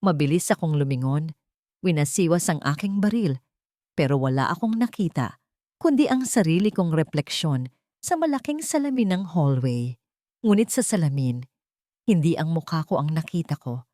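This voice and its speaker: A woman's voice: low-pitched, lively intonation, moderate pace, dark tone, no room sound, studio quality. A woman narrating a scripted first-person story in a dramatic, suspenseful and emotive way.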